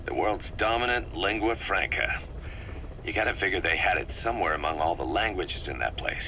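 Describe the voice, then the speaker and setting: A man playing an analytical, wary military second-in-command in a video game, explaining things in a measured, methodical way. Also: low-pitched, lively intonation, sharp tone, a little hiss or hum, full character voice, poor audio. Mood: puzzled, serious. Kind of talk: scripted video game cutscene dialogue.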